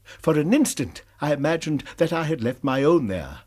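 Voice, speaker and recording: Radio drama: anxious, formal British male academic, precise and earnest, lively pitch, slightly rough voice. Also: moderate pace. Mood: earnest recounting. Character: anxious, proper college lecturer reporting a crime.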